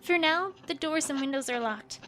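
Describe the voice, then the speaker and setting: A woman's voice: high-pitched, normal speed, lightly acted. A woman voicing an anxious young female unicorn scholar pony, reading her diary in an earnest, fretful first-person way. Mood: frightened. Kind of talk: journal read aloud as a fan audiobook. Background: music.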